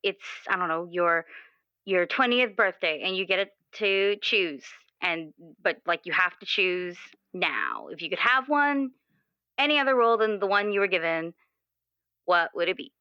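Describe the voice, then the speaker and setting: A woman playing an earnest, questioning young character in improvised roleplay, speaking haltingly with many repeated words. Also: lowish voice, very animated, clean tone, no room sound, dull audio. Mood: tentative curiosity. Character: earnest, questioning twenty-year-old, restless with their assigned role. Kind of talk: improvised actual-play roleplay dialogue.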